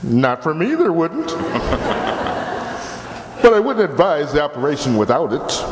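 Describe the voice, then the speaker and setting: A man's voice: on the high side, big pitch swings, a little slow. Man acting a breezy, wildly sing-song doctor. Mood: blithe. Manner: breezy, glib, reassuring. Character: breezy, blithely reassuring male surgeon. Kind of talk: old-time radio comedy acting. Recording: echoey hall, long reverb, background noise.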